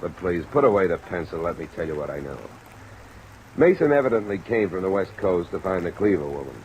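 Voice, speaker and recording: Low-voiced, hard-boiled radio detective. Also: male voice, brisk, lo-fi sound, slight background noise. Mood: irritated, sarcastic. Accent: American. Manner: hard-boiled, curt, sarcastic. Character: tough, sardonic male freelance insurance investigator. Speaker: lead actor and narrator. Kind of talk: 1940s radio detective acting. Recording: old radio recording under steady rain sound.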